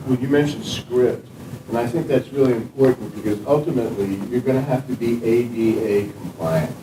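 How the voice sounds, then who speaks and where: A man who teaches, making points from the audience in a workshop discussion with a deliberate, emphatic manner. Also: medium pitch. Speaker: audience member, instructor. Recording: roomy, distant, noisy.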